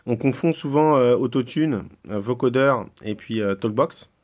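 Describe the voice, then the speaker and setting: A man interviewing a guest, putting prepared questions in measured, somewhat hesitant phrasing. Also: medium pitch, darkish tone.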